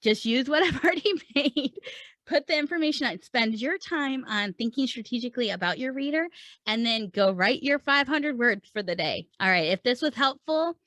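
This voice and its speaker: A woman's voice: high voice, expressive, normal speed, fairly bright tone, no room sound. A woman hosting an instructional podcast as a coach, talking conversationally and informally, stressing her points with repetition and questions to the listener. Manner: emphatic, repeats points with rhetorical questions; informal, chatty phrasing with check-ins to listener. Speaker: podcast host and writing coach.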